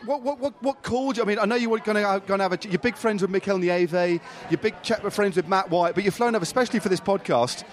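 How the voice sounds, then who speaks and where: A man's voice: high-pitched, quick pace, few pauses, soft background music. A man in his forties, a former pro cyclist co-hosting a podcast in a bar, enthusiastic and jovial. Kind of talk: casual chat. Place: bar near Madrid.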